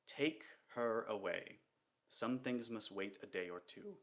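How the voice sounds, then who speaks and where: A man reading a calm, sing-song dwarf king. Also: fairly low pitch, heavily acted. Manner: comfortable, sing-song, unhurried. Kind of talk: reading a novel aloud.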